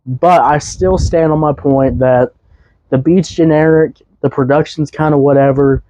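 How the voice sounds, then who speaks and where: A young man hosting a casual music review podcast, his voice a bit hoarse, rambling loosely with fillers and restarts. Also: fairly high pitch, steady tone, a little slow, mellow, low-quality recording. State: voice slightly hoarse.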